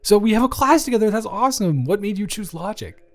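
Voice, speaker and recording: A man's voice: high voice, fast talker, faint music behind. A young man playing a friendly, slightly awkward college student in a roleplay audio, talking casually and hesitantly to the listener as a dormmate. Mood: excited, friendly. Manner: rambling, animated, hesitant casual speech.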